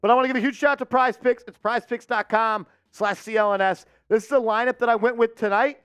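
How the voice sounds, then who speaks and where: High, clear-voiced male stream host. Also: fast talker. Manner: excited, calls plays and reads chat. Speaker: watch party host. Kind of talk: live-stream watch party chat and play-by-play.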